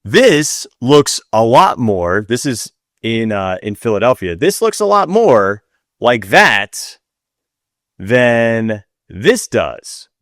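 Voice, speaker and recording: A man's voice: mid-range voice, big pitch swings, takes it slowly, fairly bright tone, no room sound, studio quality. A man co-hosting a podcast in casual chat, with an informal, wryly griping manner. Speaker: podcast co-host.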